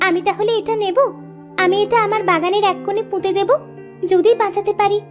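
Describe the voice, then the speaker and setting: A woman's voice: very high voice, steady tone, normal speed, highs cut off, soft background music. A woman voicing a young, hardworking village girl in a cartoon moral story, earnest and sweet. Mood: polite. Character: young village sisters, dutiful, cheerful, hardworking girls.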